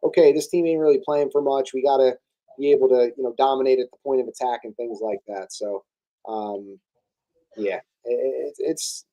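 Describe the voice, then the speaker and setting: A man's voice: medium pitch, fairly even intonation, normal speed, warm tone, poor audio. A young man co-hosting a sports podcast, talking casually and loosely, often stalling on fillers and restarting his sentences. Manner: hesitant, many restarts and fillers. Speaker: podcast co-host.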